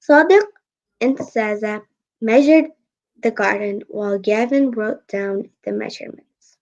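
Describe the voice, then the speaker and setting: A woman's voice: medium pitch, a little slow, a little mellow. An eight-year-old girl reading a storybook aloud, sometimes stumbling and repeating phrases. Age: child, 8 years old. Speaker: young guest co-reader. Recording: dull lo-fi audio.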